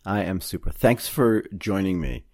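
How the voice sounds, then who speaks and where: A man's voice: lowish voice, normal speed, gravelly, darkish tone. A man hosting a casual interview, asking probing follow-up questions.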